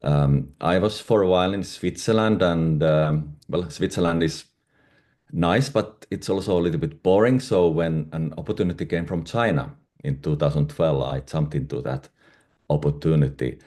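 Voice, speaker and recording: Very deep, mellow Finnish-accented man. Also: moderate pace. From Finland. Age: middle-aged. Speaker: guest, independent pharmaceutical consultant. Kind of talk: conversational interview answers.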